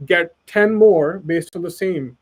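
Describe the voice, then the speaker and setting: A man's voice: high voice, slow, rough recording. A man from Pakistan speaking as an expert guest in a casual webinar chat, earnest and persuasive, often tagging "right?" onto his points.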